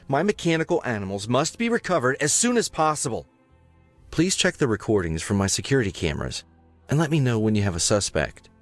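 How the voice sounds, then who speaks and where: A man's voice: mid-range voice, close to an everyday voice. An actor playing a formal, earnest male professor and inventor in a video game, with theatrical delivery. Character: distressed male professor and inventor of mechanical animals. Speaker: game character. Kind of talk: scripted video game voice acting.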